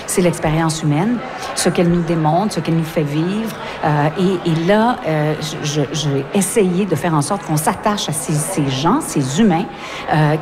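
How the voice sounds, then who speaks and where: A woman from Montreal, a novelist answering interview questions thoughtfully, with fillers and restarts. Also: lowish voice. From Montreal, Quebec. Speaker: novelist and former journalist. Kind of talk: radio interview.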